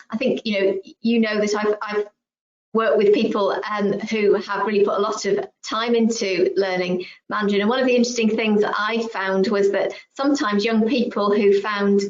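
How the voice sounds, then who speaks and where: A woman, a school head, hosting a webinar over a video call, measured and encouraging with frequent ums. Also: medium pitch. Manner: measured, encouraging, lots of ums and agreeing mm-hmms. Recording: echoey, muffled video call audio.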